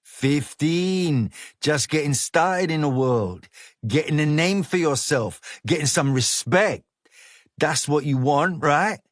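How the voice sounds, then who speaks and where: A man voicing a cocky, flashy male gang leader who talks in slang, smooth and menacing. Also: on the high side, animated, slow, no room sound, studio quality, voice pushed up. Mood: coaxing. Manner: coaxing street slang, persuasive.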